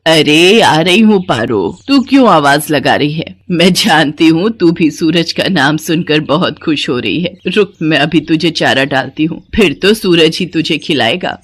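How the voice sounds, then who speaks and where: A woman's voice: sits low, expressive, unhurried, few pauses, no echo. A woman voicing a devoted, protective village mother who speaks plainly in a cartoon moral story. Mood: fond.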